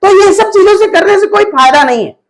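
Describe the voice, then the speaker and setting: Very high-pitched man, insistent and colloquial. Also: lively intonation, brisk. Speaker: YouTuber and host. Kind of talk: casual phone talk and explaining to viewers.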